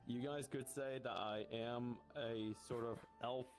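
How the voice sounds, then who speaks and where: A man improvising in-character online roleplay as a guild host, speaking in halting, formal and courteous phrases. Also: medium pitch, steady tone. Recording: background music behind the voice. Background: music.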